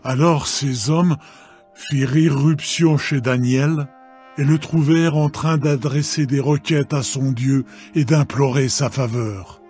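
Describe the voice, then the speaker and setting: A man narrating a dramatised scripture reading in a measured, solemn manner. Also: mid-range voice, steady tone, darkish tone. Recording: background music.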